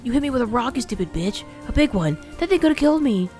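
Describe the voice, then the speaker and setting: A woman's voice: medium pitch, brisk, darkish tone, faint music behind, pitched above the performer's own voice, close to an everyday voice. A woman voicing a cruel village bully boy who taunts and sneers. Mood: furious.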